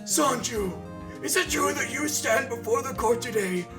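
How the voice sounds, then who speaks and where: Man acting as ceremonious judge, high voice, formal pronouncements, slightly distant mic, music behind. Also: animated, moderate pace. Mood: solemn, stern. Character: stern, ceremonious courtroom judge. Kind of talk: video game parody courtroom skit.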